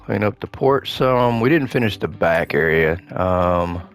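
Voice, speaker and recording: A man giving casual gameplay commentary, thinking aloud to himself as he plays, his voice slightly hoarse. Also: deep voice, a little slow, soft background music. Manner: relaxed thinking aloud, muttering to himself while playing. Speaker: gaming host playing a renovation game.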